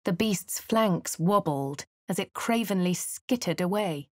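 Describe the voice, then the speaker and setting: A woman's voice: on the low side, a little slow, no room sound, studio quality, lightly acted. A woman voicing a posh English adventurer. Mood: narrating. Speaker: voice of a player character. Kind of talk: game voice lines, first-person narration.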